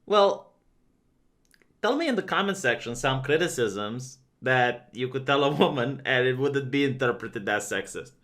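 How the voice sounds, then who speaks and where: A man's voice: mid-range voice, lively intonation, a little slow, fairly bright tone. A man from Romania, giving opinionated vlog commentary in a conversational way, with lots of "uh" and "um". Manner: conversational and opinionated, many fillers. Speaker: video commentator.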